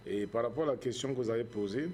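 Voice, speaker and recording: A man with a Cameroonian accent giving earnest interview answers as a charity founder, in measured, preacher-like phrases with repetition. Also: medium pitch, a little mellow, slight background noise.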